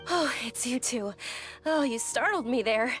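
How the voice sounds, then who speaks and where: A woman playing a polite, devout, gentle young woman in a video game, speaking earnestly and apologetically. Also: on the high side, expressive, measured pace. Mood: nervous. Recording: music behind the voice.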